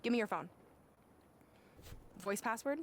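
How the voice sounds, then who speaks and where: A woman's voice: fairly high pitch, expressive, a little slow, a little hiss or hum. A woman voicing a bossy, sarcastic young wrestler turned coach in a video game cutscene, curt and condescending. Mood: exasperated, smug.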